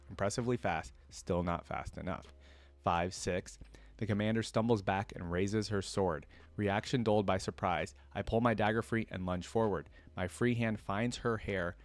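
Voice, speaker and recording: A man hosting a reaction video, reading a story aloud and adding casual, conversational fan commentary. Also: lowish voice.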